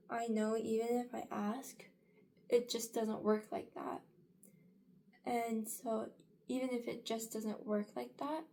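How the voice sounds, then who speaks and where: A woman's voice: on the high side, gravelly, mellow, slight background noise. A young woman in her late teens chatting casually in a get-ready-with-me vlog, rambling with many fillers and restarts. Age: young adult. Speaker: vlogger.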